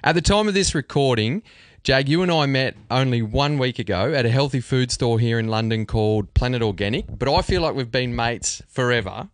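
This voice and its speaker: A man's voice: mid-range voice, no room sound. A man hosting a conversational podcast interview, talking in an enthusiastic, chummy way. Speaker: podcast host.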